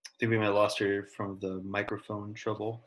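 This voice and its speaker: A man's voice: on the low side, little pitch movement, a little fast, a little hiss or hum. A man teaching an online class, talking casually and encouragingly to his students. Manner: encouraging, informal. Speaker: science teacher.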